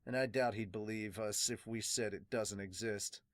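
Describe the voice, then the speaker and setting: A man playing a weary, solemn pirate doctor in a fan manga dub, subdued, measured and earnest. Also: lowish voice, steady tone, no room sound, studio quality, voice pushed down, close to an everyday voice. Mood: solemn, reflective. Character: weary, solemn veteran pirate doctor recounting his late captain.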